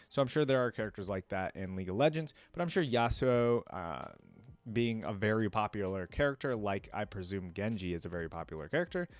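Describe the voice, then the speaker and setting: A man with a fairly low voice, recorded close and dry. He talks casually as a gaming reaction host, rambling and working out his thoughts aloud. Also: dry recording.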